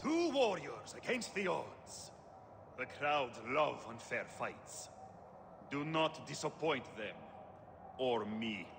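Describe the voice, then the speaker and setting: A man's voice: mid-range voice, big pitch swings, slow pace, gravelly, full character voice. An actor playing a blunt, sardonic arena boss and fight promoter in a video game, teasing his fighters. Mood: mocking, stern. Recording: noisy arena ambience behind.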